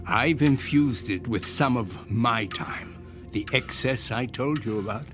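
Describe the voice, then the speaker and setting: An actor playing an older eccentric inventor in a radio drama, courteous and mysterious, talking in a measured, professorial way with small hesitations. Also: male voice, mid-range voice, music in the background, close to an everyday voice. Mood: quietly eager. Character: eccentric old inventor, courteous, mysterious, quietly persuasive salesman.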